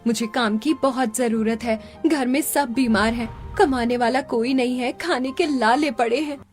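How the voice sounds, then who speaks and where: Woman playing a high-voiced, earnest poor mother. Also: steady tone, normal speed, faint music behind, close to an everyday voice. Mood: pleading. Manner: earnest, pleading. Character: poor, hardworking young mother, pleading and devoted. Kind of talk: animated story dialogue.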